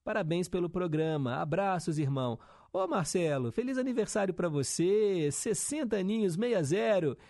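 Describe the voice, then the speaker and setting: A man's voice: high-pitched, animated, normal speed, darkish tone, no echo, studio quality. A young male radio host, chatting casually and reading listener messages with upbeat teasing asides.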